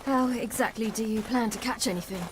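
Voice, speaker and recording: An actress playing a headstrong young warrior woman in a video game, spirited and articulate, quick to challenge. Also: a little high, noisy background, natural voice. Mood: curious, wry. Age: young adult. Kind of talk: cinematic video game acting.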